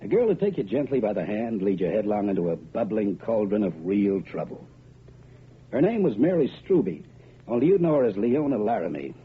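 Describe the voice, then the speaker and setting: A wisecracking private detective in an old radio drama, wry and slangy. His voice sits fairly low and swings expressively, heard through a muffled vintage recording. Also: very animated, a little fast, slightly rough, full character voice. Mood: wry, narrating. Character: wisecracking, unflappable male private detective narrating his case. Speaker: lead actor and narrator. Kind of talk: hardboiled radio detective narration and dialogue.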